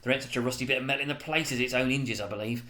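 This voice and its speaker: A man's voice: medium pitch, racing, pushed and bright, close to an everyday voice. A man voicing a sly, grudging old male junk dealer, haggling and wheedling in a dramatic storybook reading. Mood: jovial welcome.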